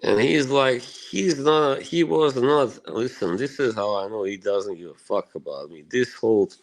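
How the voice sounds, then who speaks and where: A man's voice: medium pitch, unhurried, slight background noise, rough recording. A man from Bosnia, a live streamer, chatting casually with his viewers in a rambling, profane way, full of "you know" and short laughs.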